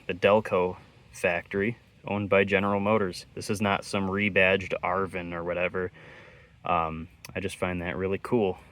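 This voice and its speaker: Low-pitched man, casual repair commentary. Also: a bit bright. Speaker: host of an electronics repair channel.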